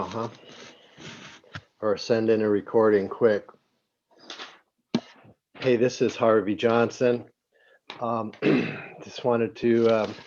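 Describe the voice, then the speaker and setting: A man's voice: fairly low pitch, mellow, slight background noise. A man from the congregation sends in a home recording, hesitating with many fillers before reading a poem aloud. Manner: halting, with many fillers and throat clearing. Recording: slightly dull home recording.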